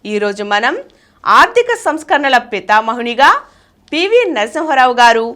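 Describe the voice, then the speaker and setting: A woman's voice: fairly high pitch, very animated, normal speed, a bit bright. A woman reading a scripted article aloud as narration. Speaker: reader of biographical articles.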